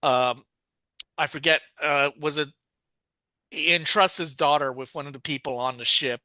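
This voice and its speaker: A man's voice: fairly high pitch, fairly even intonation, moderate pace, pushed and bright, no echo. A man co-hosting a podcast, recapping a story in a rambling, casual way with many restarts and fillers. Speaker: podcast co-host.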